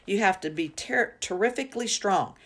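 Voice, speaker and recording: A woman's voice: mid-range voice, lively intonation, normal speed, a bit bright, pitched above the performer's own voice. A woman voicing a feisty older schoolgirl in a storybook, telling tales in an emphatic, boastful, battle-worn way.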